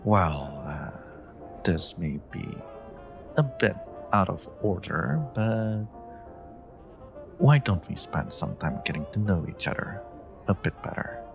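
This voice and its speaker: A man playing a flirty, charming stranger in an intimate romantic audio roleplay, with teasing, drawn-out, murmured lines. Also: deep voice, animated, creaky, over music, exaggerated voice. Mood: playful, flirty.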